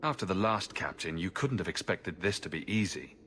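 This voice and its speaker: Male adventurer lead, game cutscene: lowish, wry, easygoing, understated, some background noise. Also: lively intonation, brisk, fairly bright tone. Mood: relaxed, candid. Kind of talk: video game cutscene acting.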